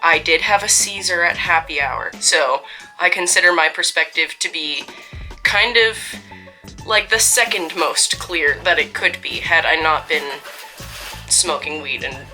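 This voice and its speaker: A young woman from Canada, just getting over a cold, chats through a story-time vlog with wry, self-mocking humor. Her voice sits a little low but sounds very bright and forceful. Faint music runs underneath. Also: unhurried. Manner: chatty, wry and self-deprecating. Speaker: vlogger telling a story.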